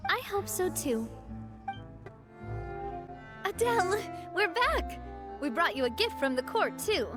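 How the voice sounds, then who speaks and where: A kind young leader in a video game. Her voice is high and her delivery gentle, earnest and expressive. Soft music sits behind her reflective, gracious lines. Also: slow pace, heavily acted. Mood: cheerful.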